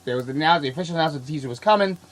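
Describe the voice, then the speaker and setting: A man hosting a casual podcast, sick and coughing, talking in an excitable, rambling way and laughing often. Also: on the high side, racing, poor audio. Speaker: podcast host. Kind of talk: casual chat.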